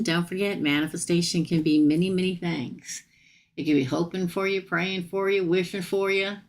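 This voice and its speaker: Gravelly low-voiced woman reading tarot. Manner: chatty, addresses viewers directly. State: tired, voice going hoarse. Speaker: tarot reader. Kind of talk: live-stream tarot reading.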